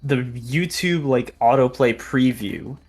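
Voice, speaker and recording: Halting young adult livestreamer over music. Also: medium pitch, darkish tone, breathy edge. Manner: halting, rambling, informal. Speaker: video editor on a fan animation project. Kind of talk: casual behind-the-scenes livestream chat. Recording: music behind the voice.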